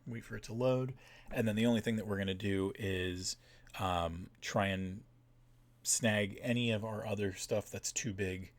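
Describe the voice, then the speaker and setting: Male stream host, lower register, informal and halting, talking through things casually. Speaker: map maker and stream host. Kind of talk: casual livestream commentary.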